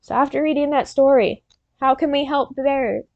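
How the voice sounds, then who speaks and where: High-voiced woman reading aloud to children. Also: fairly even intonation, gravelly, dry recording, dull audio. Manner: encouraging, instructional, pausing to prompt and praise listeners. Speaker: teacher reading a picture book aloud to children. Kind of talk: interactive read-aloud, storytelling with questions to young listeners.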